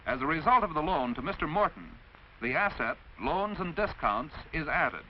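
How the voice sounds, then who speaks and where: An American man reads a scripted mid-century instructional film narration in a didactic, measured, clearly enunciated way. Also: fairly high pitch, big pitch swings. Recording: dull, noisy vintage film soundtrack.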